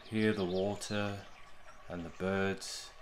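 A man leading a guided meditation in a gentle, soothing, unhurried way, with long pauses between short phrases. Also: deep voice, flat delivery, slight background noise.

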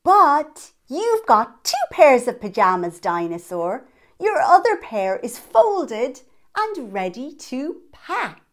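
Lady doing a cheery little boy from a storybook, high voice, comforting, very expressive. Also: takes it slowly, full character voice. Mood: encouraging. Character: cheerful, reassuring small boy from a picture book.

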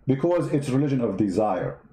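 A man's voice: medium pitch, very animated, a little fast, echoey room, a loud crowd. A man whose first language is not English, mocking a played clip in sarcastic commentary. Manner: sarcastic, mocking, repeats words. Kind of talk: mocking commentary over clips.

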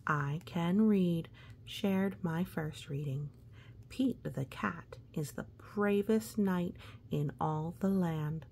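Woman reading to kids, slightly creaky voice. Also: on the low side, slow pace, a little mellow, slight background noise. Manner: playful, exclamatory, talking as if to a young child. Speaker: picture book reader. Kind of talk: children's story read-aloud with chatty asides.

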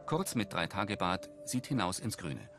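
A man with a low voice narrates screen action as audio description. His delivery is precise and factual, with a mobile intonation, and music plays clearly underneath. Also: big pitch swings, normal speed. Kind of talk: scripted present-tense narration of screen action. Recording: film soundtrack and music underneath.

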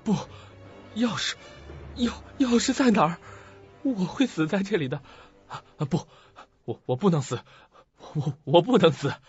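A man's voice: sits high, lively intonation, a little slow, long pauses, a little mellow, highs cut off. A man playing a terrified, desperate trapped man in an audio suspense drama, stammering his pleas. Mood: panicked, desperate. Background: music.

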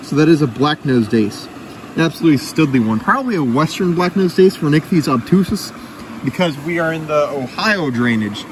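Young man, fairly high voice, enthusiastic and chatty, outdoors by a creek, body camera, faint running water. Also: lively intonation, normal speed, noisy background. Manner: enthusiastic, chatty, filler-laden. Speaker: fishing vlogger. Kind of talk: casual outdoor narration.

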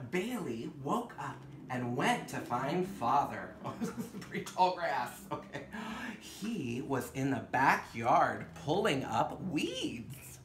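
Guy in drag reading a storybook, super theatrical, slow pace, kinda echoey room. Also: on the high side, big pitch swings, fairly bright tone. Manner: theatrical, gasps and dramatic drawn-out words. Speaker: drag performer hosting storytime. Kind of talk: animated storybook reading with playful asides. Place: indoor room with fabric backdrop. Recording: roomy echo, some background noise.

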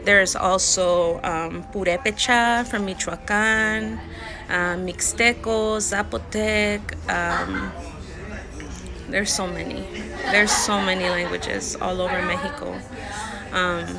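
A woman in her thirties teaching vocabulary in a casual lesson, repeating each word slowly and carefully, recorded on a phone held close outdoors. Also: mid-range voice. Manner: slow, careful word-by-word repetition. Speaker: language learner sharing lessons. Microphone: phone held close, selfie framing.

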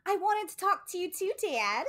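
Woman acting a high, animated, entitled daughter. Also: moderate pace, exaggerated voice. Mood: entitled pleading. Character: whiny, entitled college-age mob daughter. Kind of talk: comedic radio play acting.

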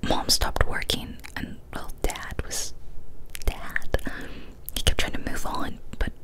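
Whispering young woman reading a story. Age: young adult. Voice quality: soft breathy whisper. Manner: hushed, deliberate narration. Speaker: ASMR storyteller. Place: small decorated room indoors. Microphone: large studio microphone up close.

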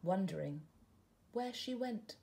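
Expressive woman reading a storybook. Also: mid-range voice, slow, vocal fry, slight background noise. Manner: expressive, gently paced storytelling. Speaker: teacher reading aloud. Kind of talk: storybook read-aloud. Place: living room at home, indoors.

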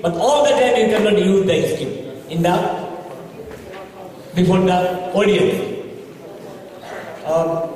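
A middle-aged man with a Bangladeshi English accent giving earnest, halting feedback as a competition judge at a podium microphone in a large indoor hall. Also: high-pitched, darkish tone. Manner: earnest, advisory, halting with frequent fillers. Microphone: podium microphone, filmed from far. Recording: echoey hall recorded from far off, audience noise.

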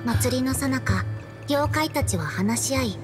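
A dignified youkai storyteller in a video game. The voice sits fairly high and speaks earnestly in old-fashioned, formal phrases. Faint music plays underneath. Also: female voice, expressive, a little slow. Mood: solemn storytelling.

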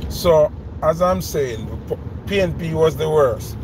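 A man's voice: a little high, lively intonation, measured pace. A man in his thirties with a Jamaican accent, giving emphatic commentary from inside a car, repeating his questions and mixing Patois with English. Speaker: vlogger. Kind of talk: ranting commentary. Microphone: phone camera held close. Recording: noisy car interior.

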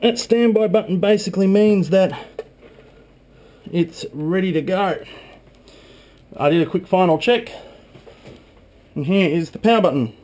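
A man's voice: high-pitched, measured pace, long pauses, a little mellow. A man in his twenties giving a casual, chatty hands-on narration as a video blogger, recorded on a handheld camera in a small room at home. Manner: informal, chatty. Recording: faint background noise.

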